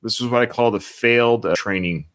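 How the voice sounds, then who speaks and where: Man, Canadian accent, podcast host, mid pitch, chatty and rambling. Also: brisk, fairly bright tone. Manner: chatty, rambling, self-described punchy. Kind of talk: casual podcast chat.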